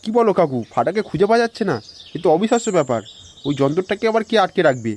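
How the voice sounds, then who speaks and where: A man's voice: high voice, very animated, rapid-fire, darkish tone. A man voicing a blunt, slangy young villager in a comedy cartoon, brash in manner. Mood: incredulous.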